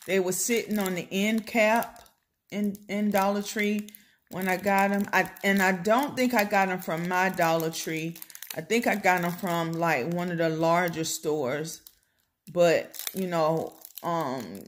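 An American woman vlogging casually at home, comparing prices in an unhurried, chatty way with lots of ums. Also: lowish voice. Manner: chatty, unhurried, many ums and self-corrections. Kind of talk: casual product comparison vlog. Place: home table, indoors.

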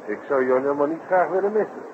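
A man's voice: a little high, fast talker, lightly acted. A man playing a detective's eager, breezy companion, chatting colloquially in a radio drama. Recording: dull old radio recording, clear noise.

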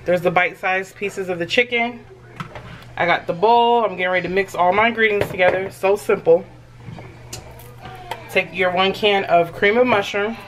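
A woman's voice: mid-range voice, moderate pace, pauses often. Chatty woman cooking in a kitchen. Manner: chatty, reassuring, addresses viewers as y'all. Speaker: home cooking vlogger. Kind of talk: casual cooking walkthrough. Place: home kitchen. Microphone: camera mic across the kitchen. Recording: noisy home kitchen recording. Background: clinking dishes and cutlery.

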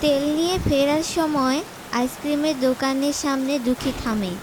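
A woman narrates a cartoon story from a script. Her voice is high, and there is clear noise behind it. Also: fairly even intonation, normal speed.

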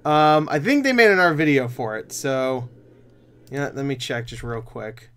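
A man's voice: mid-range voice, moderate pace, fairly bright tone, clean tone. A man in his twenties giving casual, rambling, sweary reaction commentary as a video host. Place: small room at home.

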